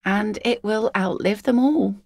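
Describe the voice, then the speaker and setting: A woman voicing an easygoing, philosophical seafaring mother in a video game, with a wry, gently teasing, folksy manner. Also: medium pitch, a little slow, vocal fry, no room sound, clean studio sound. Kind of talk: naturalistic video game dialogue acting.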